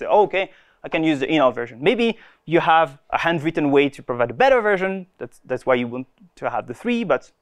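A young man presenting a technical talk at a conference, pausing and restarting often as he explains. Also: mid-range voice. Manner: hesitant, many restarts and fillers. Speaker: conference speaker. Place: conference room.

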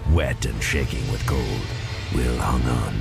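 A man with a gravelly voice narrating a scripted adventure audio drama as a storyteller. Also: extremely low pitch, a little slow. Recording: loud music under the voice.

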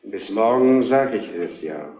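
An actor playing a weary, bereaved older father in a radio crime drama, grave, measured and resigned. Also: lowish voice, lively intonation, dark tone, lo-fi sound, slight background noise. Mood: resigned grief. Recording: roomy old radio play recording.

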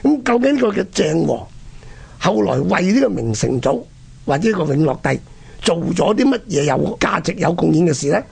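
A man with a Hong Kong Cantonese accent and a husky, gravelly voice, telling history conversationally and explaining it to the listener. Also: a little high, sing-song, normal speed, darkish tone. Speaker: co-host of a history programme.

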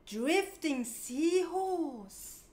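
High-pitched woman reading slowly to young children. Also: animated, darkish tone. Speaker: children's storybook reader. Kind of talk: read-aloud of a picture book for young children.

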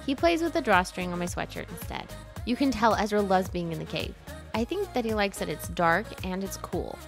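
30s mom narrating family trip vlog, bouncy pitch, chatty, music playing loud behind her. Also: brisk. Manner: chatty, lively, laughs often. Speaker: family vlogger, mother.

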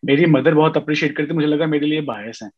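A man's voice: a little high, fast talker, husky. Man on a dull-sounding video call. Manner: animated storytelling, mixing Hindi and English, many fillers. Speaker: music composer guest. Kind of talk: casual interview on a video call. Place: indoor room at home. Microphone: webcam on a video call.

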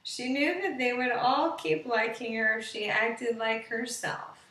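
Female storyteller, thirties, fairly high voice, bedtime story read for kids, slightly roomy home recording. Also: fairly even intonation, a little slow, pushed and bright. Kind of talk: children's bedtime story read aloud, slow simple narration. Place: living room with sofa and window, indoors. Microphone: webcam at about arm's length or more. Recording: roomy, distant webcam sound.

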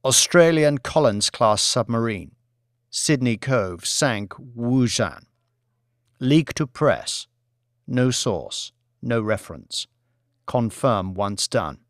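A man's voice: mid-range voice, expressive, takes it slowly, no room sound, studio quality. A man voicing a coolly scheming executive in an audiobook, insistent and decisive as he gives orders. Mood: brisk, decisive. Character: commanding, coolly scheming male executive newly in power.

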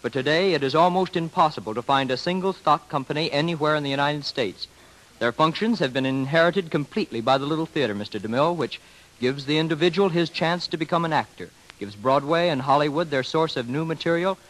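A man's voice: a little high, a bit bright, low-quality recording. A man speaking as a guest expert in a semi-scripted radio interview from the 1930s, formal, earnest and wordy. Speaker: guest expert on community theater. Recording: dated 1930s radio broadcast recording.

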